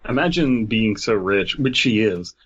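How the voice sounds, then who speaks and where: Lowish-voiced man on a dull mic. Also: expressive, a little slow, a bit bright, slightly rough. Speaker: podcast co-host. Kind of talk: casual story reading and commentary.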